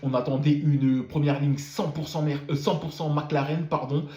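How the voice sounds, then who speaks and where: A man's voice: fairly high pitch, fairly even intonation, normal speed, mellow, echoey room. A man who has a cold gives a casual spoken debrief of a motor race, full of fillers and repeated words. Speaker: F1 commentator.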